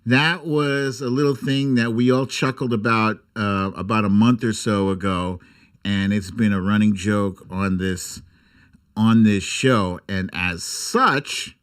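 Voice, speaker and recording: A man's voice: lowish voice, steady tone, slow, smooth. An American man hosting a casual livestream, chatting informally and jokily with some mild swearing. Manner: informal, jokey, mildly profane. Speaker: host of an online livestream show. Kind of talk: casual livestream chat and commentary.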